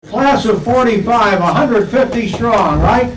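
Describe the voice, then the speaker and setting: A man's voice: sits high, unhurried. Older man cracking jokes in an echoey hall. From Western New York. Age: 70s. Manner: jokey storyteller, laughing through punchlines. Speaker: retiring sports broadcaster giving a banquet speech. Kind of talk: after-dinner joke telling. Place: banquet hall. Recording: echoey hall from far off, loud crowd and noise.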